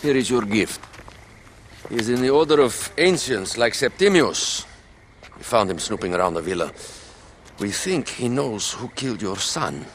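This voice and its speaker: Jovial royal henchman played by a man, flourishing and animated, game drama with noise behind. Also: medium pitch, normal speed, natural voice. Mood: brisk, businesslike. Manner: theatrical, flourishing. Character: jovial, courtly warrior companion to a queen. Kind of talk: cinematic game voice acting.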